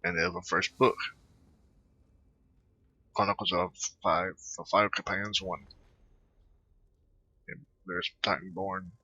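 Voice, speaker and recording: A man's voice: sits low, sing-song, slow pace, vocal fry, long pauses, bright, forceful tone, slightly rough. A man doing casual let's-play commentary and reading game dialogue aloud, recorded close on a lo-fi microphone. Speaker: gamer.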